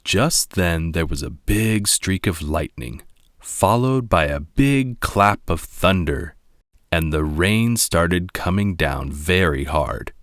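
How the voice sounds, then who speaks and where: A low-voiced man reads a children's story aloud as a narrator. He takes his time over each phrase, and his expressive delivery rises and falls widely. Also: slow pace, no echo, clean studio sound. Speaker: read-along narrator.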